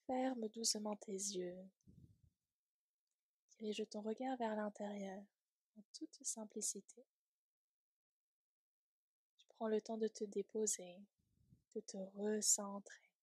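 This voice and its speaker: Woman, slow pace, soft breathy voice, soothing guided meditation, gentle near-whispered endings, close dry sound. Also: mid-range voice, steady tone, no echo. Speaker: yoga nidra instructor.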